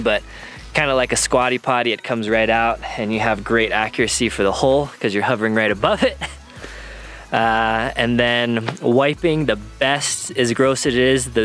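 A man's voice: medium pitch, fairly bright tone. A man in his thirties chatting casually as a camping vlogger, recorded on a camera held at arm's length. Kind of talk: casual vlog chat to camera. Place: inside a camper van and outdoors at a forest campsite.

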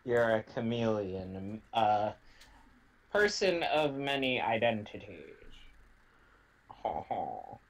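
Deep-voiced man with slow, dry quips. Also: sing-song. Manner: dry, jokey asides. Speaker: stream co-host. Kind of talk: casual livestream chat.

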